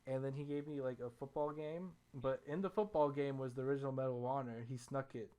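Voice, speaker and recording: A young man hosting a casual podcast chat about video games, telling stories in an animated, self-deprecating way. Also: medium pitch, fast talker. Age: young adult. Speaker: podcast host.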